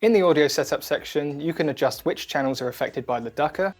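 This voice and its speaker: Higher-voiced man narrating a product demo over music. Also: quick pace. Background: background music.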